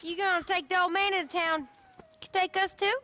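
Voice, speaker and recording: A woman's voice: extremely high pitch, fairly even intonation, a little fast, sharp tone, a little hiss or hum. An actress playing a bold, teasing young woman outlaw in a video game, with a mocking manner. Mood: teasing, goading. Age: young adult. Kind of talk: video game cinematic acting.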